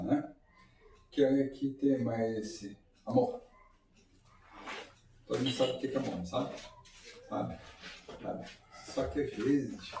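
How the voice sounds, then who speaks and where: A man's voice: on the low side, darkish tone, a little hiss or hum. Man lecturing in an echoey hall. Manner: conversational, asks the audience rhetorical questions. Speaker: lecturer at a spiritist center. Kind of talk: informal public lecture. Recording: echoey hall, mic far off.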